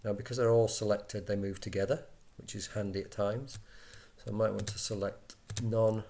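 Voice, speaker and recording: Low, slightly creaky man's tutorial voice. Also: little pitch movement, moderate pace, dark tone. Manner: explains step by step, conversational. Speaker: software tutorial instructor. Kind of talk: informal screen-recorded software walkthrough.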